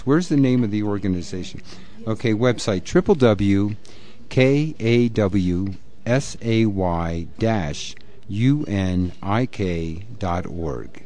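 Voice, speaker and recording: A man's voice: on the low side, warm tone. A man hosting a live radio interview, talking in a loose, rambling way with many fillers. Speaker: radio host.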